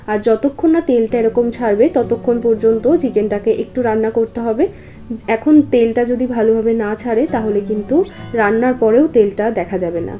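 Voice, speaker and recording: Higher-pitched woman narrating a recipe. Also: dark tone. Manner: instructional, explanatory. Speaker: cooking show host. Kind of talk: step-by-step recipe voice-over narration. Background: guitar background music.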